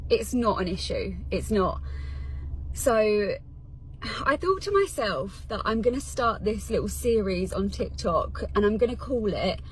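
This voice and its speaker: A woman's voice: medium pitch, low-quality recording. British woman of thirty, faint background noise. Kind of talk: casual confessional social media vlog.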